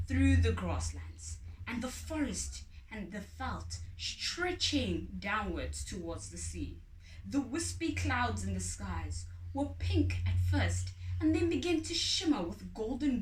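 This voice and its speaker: A woman storyteller reads a storybook with deliberate, dramatic phrasing. Her pace is very slow and her high voice rises and falls widely. The room echoes around her, away from the microphone. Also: slight background noise. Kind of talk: expressive storybook reading. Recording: echoey room, distant from mic.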